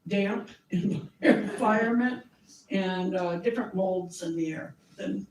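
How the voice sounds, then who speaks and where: A woman's voice: mid-range voice, slow pace, a little mellow, distant mic. A woman with a scratchy voice giving a friendly, chatty presentation to a community meeting, with small laughs and fillers. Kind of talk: informal presentation to a group. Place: meeting room. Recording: echoey room, voice from off-mic.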